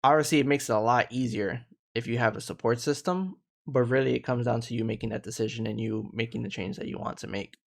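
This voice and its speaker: A man's voice: mid-range voice, quick pace, vocal fry, few pauses. A man in his thirties hosting a casual live panel discussion, earnest and reflective, often restarting his sentences. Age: 30s.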